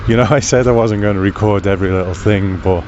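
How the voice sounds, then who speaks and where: A man's voice: fairly low pitch, fast talker, darkish tone, lo-fi sound. A man hosting a podcast, talking casually as he records on location outdoors. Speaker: podcast host. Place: outdoors on a town street. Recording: noisy outdoor recording with ambient street sound.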